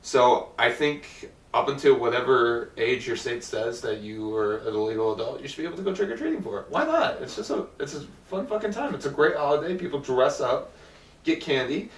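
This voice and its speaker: A man's voice: mid-range voice, big pitch swings, brisk, echoey room, distant mic. A young man in casual podcast chat, rambling and swearing freely. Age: young adult. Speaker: podcast guest.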